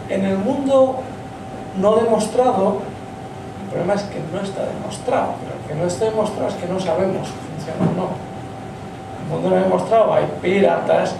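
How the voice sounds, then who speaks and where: Blunt, high-voiced Spanish man, echoey pub. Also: creaky. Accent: Spain. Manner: blunt, emphatic, swears. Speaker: audience member, doctor. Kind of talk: impassioned audience comment. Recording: echoey pub from far off, noisy.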